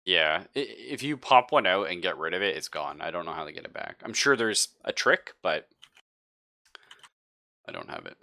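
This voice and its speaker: A man narrating a tabletop game casually as game master, thinking aloud in an informal, halting way. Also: low voice, lively intonation, creaky, pushed and bright.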